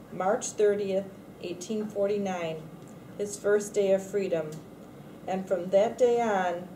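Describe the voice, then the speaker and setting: A woman reading a picture book aloud from the text, pausing between phrases and lifting her voice for dialogue. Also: medium pitch, mellow, far from the mic. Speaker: storybook reader at a read-aloud event. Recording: echoey room, faint background noise.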